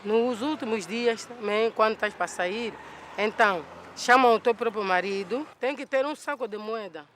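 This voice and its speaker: High-voiced man describing tradition. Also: moderate pace, a bit bright, slight background noise. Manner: plain, listing, repetitive. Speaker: community member describing a ritual. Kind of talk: documentary interview.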